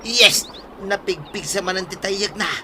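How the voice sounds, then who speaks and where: A man's voice: sits high, measured pace, pushed and bright, exaggerated voice. Man acting as scheming Ilocano village treasure hunter, noisy recording. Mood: excited. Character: scheming, bossy village treasure-hunting man, eager and sly. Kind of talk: Ilocano comedy radio-style drama acting.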